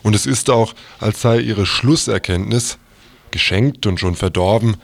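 A man's voice: fairly low pitch, a little slow, creaky, dry recording. A man reading aloud a written newspaper review as a quoted text. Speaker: reader of quoted articles.

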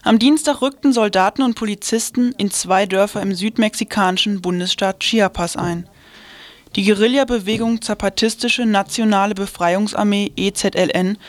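A woman's voice: mid-range voice, no echo. A woman reading a scripted radio news report.

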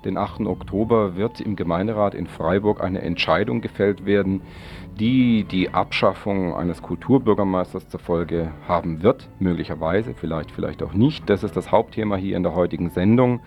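A man's voice: deep voice, moderate pace, vocal fry, lo-fi sound. A man hosting an informal radio magazine from a studio, phrasing things loosely and correcting himself as he goes. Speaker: radio host. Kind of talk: informal magazine show introduction and interview. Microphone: studio microphone.

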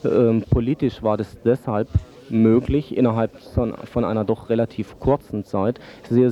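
Deep-voiced man, dull lo-fi radio recording. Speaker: drug-aid expert guest. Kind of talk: explanatory radio interview answers. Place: radio interview.

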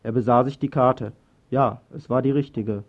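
A man with a medium-pitched voice narrates a scripted dystopian story. The recording is dull and lo-fi, in the manner of an eighties radio broadcast. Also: fairly even intonation, moderate pace.

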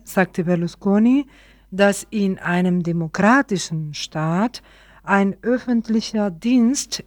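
A woman's voice: medium pitch, darkish tone, no echo, clean studio sound. A woman reading scripted news items as a radio newsreader.

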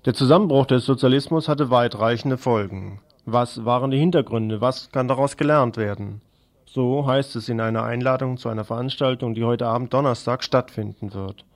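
A man reading scripted news as a radio presenter. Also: medium pitch.

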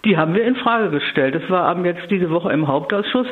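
A woman giving a spontaneous political interview as a local council leader, speaking in a measured, emphatic way with careful wording. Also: fairly low pitch, lively intonation. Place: phone call to a radio programme. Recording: narrow-band phone line.

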